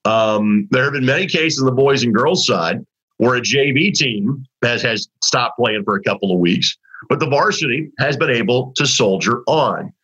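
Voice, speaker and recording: Raspy-voiced sports broadcaster. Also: male voice, mid-range voice, lively intonation, normal speed, a bit bright. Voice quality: slightly raspy from overuse. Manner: conversational, rambling with restarts and fillers. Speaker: sports broadcaster hosting a high school basketball talk segment. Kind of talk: casual sports talk. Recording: dull, lo-fi audio.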